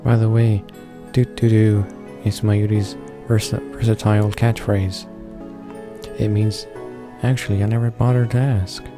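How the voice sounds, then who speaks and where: A man's voice: on the low side, level tone, unhurried. A man reading a visual novel's narration aloud, stumbling a little over the words. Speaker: video game let's player. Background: faint music.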